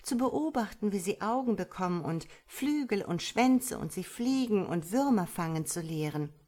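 A woman's voice: mid-range voice, animated, moderate pace, mellow, close to an everyday voice. A woman voicing a cheerful, chatty starling in a storybook reading, breezy and a little lecturing. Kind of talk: storybook character voice.

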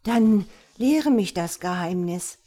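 A woman's voice: medium pitch, lively intonation, measured pace, a little mellow. A woman voicing an innocent, curious little boy in a storybook, with a pleading, childlike way of asking. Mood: pleading, earnest. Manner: pleading, wide-eyed, childlike questioning. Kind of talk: storybook character voice.

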